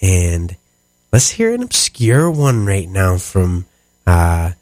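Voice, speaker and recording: A man's voice: low-pitched, big pitch swings, slow, darkish tone, dry recording, studio quality. A man hosting a jazz radio show, nasal and a bit sniffly, talking loosely between songs with plenty of fillers. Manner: rambling with many fillers and laughs. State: stuffy, sniffly. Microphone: studio microphone.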